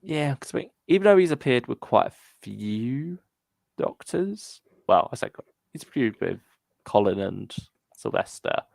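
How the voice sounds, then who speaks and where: A man's voice: medium pitch, sing-song, a little slow, creaky, a little mellow, no echo. A man co-hosting a podcast, chatting casually and a little hesitantly about fan topics and listener comments. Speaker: podcast co-host.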